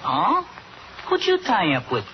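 A man's voice: sits high, wildly swooping pitch, a little slow, exaggerated voice. A man playing a chatty, wisecracking band sidekick full of slang in a 1950s radio drama. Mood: surprised. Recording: muffled, noisy old radio recording.

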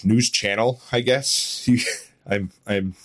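Man, low voice, dry close sound, casual podcast guest, rambling, self-deprecating, chuckly. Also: fairly even intonation, takes it slowly, a bit bright, no room sound. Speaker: podcast guest, audio drama writer and voice actor. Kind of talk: casual interview chat.

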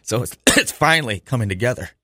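A man's voice: mid-range voice, expressive, fast talker, bright, forceful tone, a little hiss or hum. An American man hosting a sports talk radio show, speaking in a chatty, informal, opinionated way on a close studio microphone.

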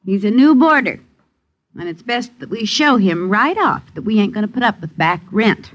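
A woman's voice: medium pitch, expressive, normal speed, close to an everyday voice. An actress playing a querulous, grasping boarding-house landlady in a 1950s radio drama, scolding in folksy, ungrammatical speech. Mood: nagging, aggrieved. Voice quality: uneducated, folksy grammar. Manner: nagging, scolding. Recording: dull vintage radio recording.

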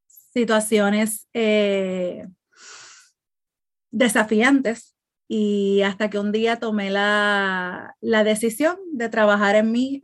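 Woman co-host, unhurried and thoughtful, halting delivery. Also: mid-range voice. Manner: slow, hesitant, many fillers and restarts. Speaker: podcast co-host. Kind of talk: reflective podcast monologue.

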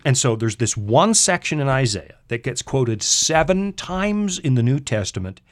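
Lively middle-aged man teaching Bible. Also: mid-range voice, moderate pace. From Canada. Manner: animated, self-deprecating, restarts and corrects himself. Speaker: radio Bible show co-host.

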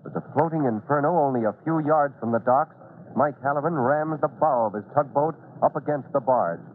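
A man's voice: medium pitch, brisk. A man narrating a children's adventure serial as a dramatic 1940s radio announcer. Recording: narrow-band, slightly noisy 1940s radio recording.

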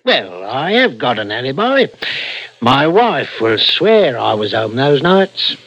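An actor playing a troubled, defensive murder suspect in a 1960s radio drama, hesitant and haunted. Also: male voice, mid-range voice, very animated, takes it slowly, fairly bright tone, no room sound, heavily acted. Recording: dull vintage radio broadcast, music underneath.

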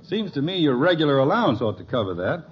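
A man's voice: a little high, big pitch swings, fast talker, slightly rough, exaggerated voice. A man playing a middle-aged suburban father in a 1950s radio sitcom, teasing with dry wit and fond exasperation. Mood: wry teasing. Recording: noisy, muffled old radio broadcast recording.

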